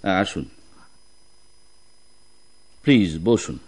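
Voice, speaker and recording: A man's voice: on the low side, sing-song, very slow, darkish tone, heavily acted. A man with a Bangladeshi accent that mixes in English, playing a genial, courteous company boss in a radio drama. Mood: gracious. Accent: Bangladeshi, mixing in English. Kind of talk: Bengali radio drama acting.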